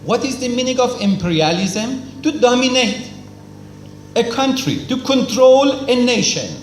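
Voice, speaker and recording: Slow, lively man lecturing in an echoey hall. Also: extremely high pitch, faint music behind. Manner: lecturing, asks and answers his own questions, emphatic repetition; non-native English with simple grammar. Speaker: speaker at a cultural event. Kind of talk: formal speech. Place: hall at a celebration. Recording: echoey hall with faint noise.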